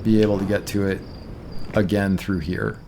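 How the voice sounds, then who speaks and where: A man's voice: lowish voice, fairly even intonation, moderate pace, noisy background. A man from Toronto with a Canadian accent streams a game in casual chat, thinking aloud and correcting himself, his voice tired. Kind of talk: casual let's-play chat.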